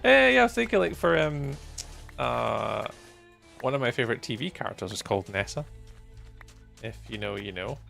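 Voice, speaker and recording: A man's voice: mid-range voice, very animated, moderate pace, creaky, pauses often, fairly bright tone, soft background music. A Scottish man in his forties chatting casually and good-humouredly with viewers while he plays a game. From Scotland. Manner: rambling and good-humoured, with frequent ums and chuckles. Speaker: gaming livestreamer. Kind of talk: casual chat with live viewers while gaming.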